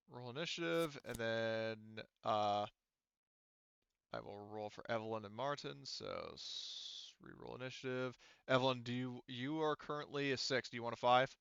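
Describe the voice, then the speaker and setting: A man's voice: mid-range voice, unhurried, gravelly, a bit bright, smooth, no echo, highs cut off. A man running a tabletop roleplaying game online as game master, talking casually with swearing and muttering to himself.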